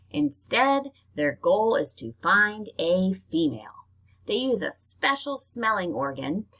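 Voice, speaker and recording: A woman reads nonfiction aloud in an expressive, informal way, like reading a book to children. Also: fairly low pitch, creaky, slightly rough, poor audio. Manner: expressive, informal reactions between passages. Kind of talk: read-aloud narration with casual spoken asides.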